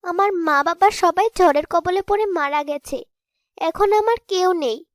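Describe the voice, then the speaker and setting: A woman's voice: very high-pitched, measured pace, no echo, studio quality. A woman voicing a meek, pleading orphan maiden in a fairy-tale cartoon. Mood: tearful pleading. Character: witch posing as a meek, pitiful orphan maiden.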